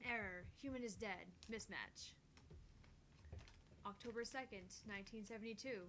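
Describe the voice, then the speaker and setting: Woman reading visual novel dialogue, faint rumble. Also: normal speed, a bit bright. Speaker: amateur voice reader of a visual novel. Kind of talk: reading game dialogue and scripted reports aloud.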